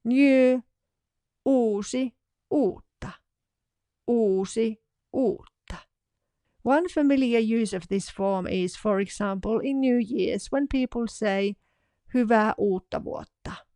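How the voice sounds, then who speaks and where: A woman narrates a scripted language lesson, saying each example word twice, slowly and deliberately. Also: on the high side, mellow, dry recording. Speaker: language teacher.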